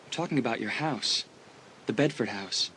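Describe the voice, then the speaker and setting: A man's voice: mid-range voice, lively intonation, moderate pace, fairly bright tone, slight background noise, lightly acted, rough recording. A young man playing an easygoing, teasing teenage school athlete in an audiobook dramatisation, chatting casually. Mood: grave, confiding.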